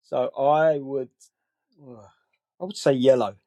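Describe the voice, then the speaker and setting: Relaxed, rambling man in his forties. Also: medium pitch, slow pace, dry recording. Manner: relaxed, rambling, many fillers. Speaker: guest colorist. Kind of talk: casual interview chat.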